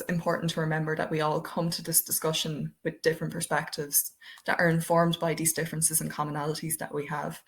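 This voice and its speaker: A young Irish woman with an Irish accent presenting a webinar over a video call, chatty and full of fillers like "um" and "I suppose". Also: sits low. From Ireland.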